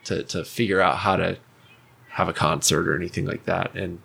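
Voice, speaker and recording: A man talks about his life in an informal interview. His voice is low and bright-edged, with noticeable vocal fry. He goes haltingly, searching for the right words. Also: steady tone. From United States, raised partly in Mexico City. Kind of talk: casual personal storytelling.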